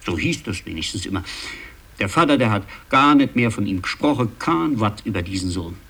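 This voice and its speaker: A man playing a rambling, excitable land registry clerk and genealogy buff in a radio play, with a husky voice and a halting, insistent delivery. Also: fairly low pitch, exaggerated voice. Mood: animated, gossipy. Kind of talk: conversational radio play acting.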